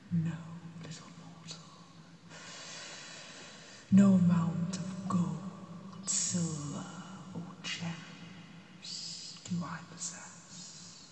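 An ancient female dragon, played by a woman, speaks in a breathy half-whisper, very slowly and with little rise or fall. She is imperious yet gentle and stately in her archaic phrasing. Her voice comes from a distance through long cavernous reverb, in the manner of an ASMR fantasy roleplay. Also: lowish voice, fairly even intonation. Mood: haughty warning.

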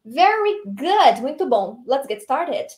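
A young Brazilian woman teaching English online from a small room, lively and encouraging, reading the English lines out slowly. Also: high voice. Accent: Brazilian Portuguese. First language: Portuguese.

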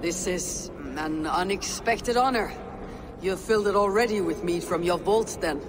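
An actor playing a composed, courteous warrior god in a video game, with measured, formal speech. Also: medium pitch, close to an everyday voice. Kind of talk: scripted video game dialogue. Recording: clear background noise and ambience.

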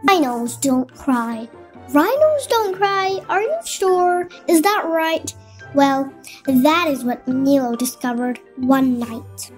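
A woman reading a children's picture book aloud in an expressive, drawn-out way for young children. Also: sits high, soft background music. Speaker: children's storyteller.

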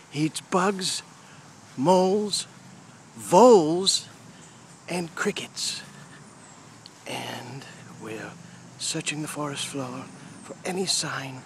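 A man hosting a playful mock wildlife documentary in a theatrical way, sometimes hushed and repeating his phrases. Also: a little high. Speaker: host of homemade nature show. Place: outdoors in a grassy yard by trees. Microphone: handheld phone camera, speaker behind it. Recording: outdoor phone audio with insect noise.

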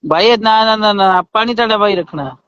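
High, clear-voiced Punjabi man protesting by phone. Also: brisk, a bit bright, dry recording. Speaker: receiver of a comic phone call. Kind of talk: comic phone call, conversational skit. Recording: dull, lo-fi phone call recording.

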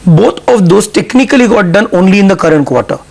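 A middle-aged man, explaining company plans in a measured, businesslike way in a news interview. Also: high-pitched, animated, vocal fry. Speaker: CFO guest.

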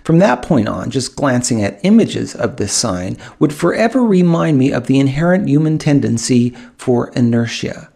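A man reads a first-person story in a scripted audiobook style. His voice is on the low side, with a gravelly creak and a husky grain. He tells it with wry touches and pauses for dramatic effect. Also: big pitch swings, dark tone. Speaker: author reading own audiobook.

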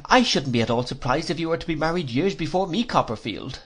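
A narrator voicing a cheerful, modest young gentleman with an amiable, eager manner. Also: medium pitch, lively intonation, fast talker, husky, pitched above the performer's own voice.

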